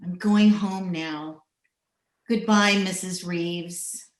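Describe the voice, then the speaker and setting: In a very roomy recording, a woman acts out a hard, bitter widow of the Old West. She talks very slowly and deliberately, with curt phrasing, in the manner of a radio western. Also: on the low side, fairly even intonation. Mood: subdued, remorseful. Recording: echoey room over video call.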